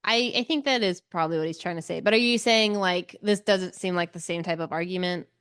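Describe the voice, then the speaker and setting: A woman's voice: fairly low pitch, fairly quick, a bit bright, clear, resonant tone. A woman co-hosting a podcast, talking about a book in a casual, tentative and agreeable way. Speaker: book club podcast co-host. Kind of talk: casual book discussion chat.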